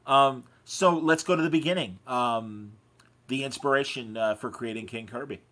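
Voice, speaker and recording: A man's voice: medium pitch, moderate pace, fairly bright tone. A man hosting a podcast, chatting casually and effusively with his guests. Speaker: podcast host. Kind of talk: casual interview chat.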